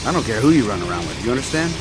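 A man's voice: medium pitch, very animated, rapid-fire, close to an everyday voice. Man acting middle-aged American redneck drifter, taunting, folksy, swinging intonation, video game drama, music behind. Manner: taunting, cocky, folksy drawling chatter. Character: brash, needling redneck drifter in a pickup truck.

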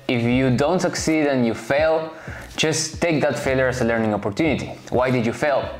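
A man in his late twenties whose first language is Spanish, talking straight to camera in a casual, direct way with offhand asides. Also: medium pitch. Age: late 20s. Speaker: vlogger. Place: bright home room, indoors.

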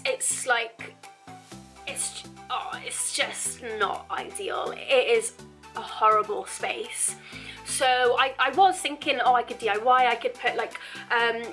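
A woman's voice: on the high side, a bit bright. A young woman, answering viewer questions about her home renovation in a chatty, rambling vlog. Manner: chatty, upbeat, rambling with restarts. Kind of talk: casual Q&A vlog. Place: indoors at home, virtual backdrops.

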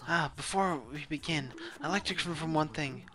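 A man voicing a polite, soft-spoken, unsettling teenage boy in an amateur game dub. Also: on the high side, moderate pace. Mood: calm, ominous.

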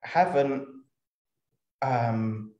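A man's voice: medium pitch, very slow, dark tone, echoey room, lo-fi sound. A man with a British accent chatting casually as a panelist on a group video call from an indoor room. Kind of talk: casual chat.

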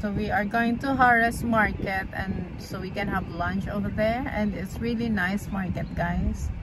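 A woman's voice: medium pitch, fairly even intonation, normal speed, over a noisy crowd, rough recording. A woman in her thirties chatting casually to vlog viewers in non-native English phrasing. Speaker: family vlogger. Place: in a car, then inside a busy market hall. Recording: music over the voice.

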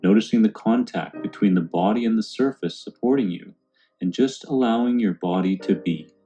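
A man calmly guiding a meditation in a soothing, unhurried way. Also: lowish voice, muffled recording. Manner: calm, soothing, unhurried instruction. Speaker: meditation guide. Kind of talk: guided meditation narration. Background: background music.

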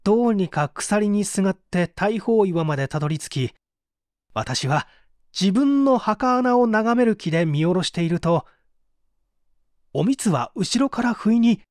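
Guy doing a haunted murder suspect confessing, slow and dramatic, dry close sound, audio drama. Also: sits high, animated, a little mellow, dry recording. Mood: weary, bitter. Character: tormented male murder suspect confessing, weary and fatalistic. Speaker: voice actor reading a story. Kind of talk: dramatic audio reading of a mystery.

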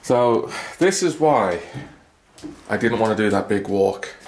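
Gravelly-voiced man vlogging on the move. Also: on the low side, expressive, measured pace, darkish tone, slight background noise. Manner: chatty, rambling, self-deprecating. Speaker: travel vlogger. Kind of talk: casual handheld vlog narration. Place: apartment, stairwell and icy outdoor car park. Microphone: handheld camera mic.

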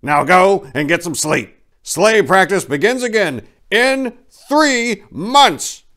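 A jolly old storybook elf, performed by a male reader. He sounds proud and approving, chortling along with animated swings in pitch. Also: slow, heavily acted.

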